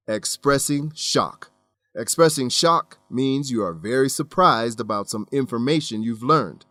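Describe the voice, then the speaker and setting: A male teacher-host reads a scripted language lesson in a medium-pitched voice. He is measured and instructive, enunciating clearly, with a very dry, close studio sound. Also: a bit bright, no echo. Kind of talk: scripted educational narration.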